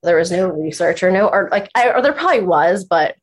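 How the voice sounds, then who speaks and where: A woman's voice: on the low side, expressive, brisk. A congested woman guest telling her own story informally, saying "like" a lot and quoting her own thoughts. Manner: chatty, informal, heavy use of "like", quotes her own thoughts. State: stuffy, congested. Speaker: podcast guest, therapist and trainer. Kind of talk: casual personal storytelling.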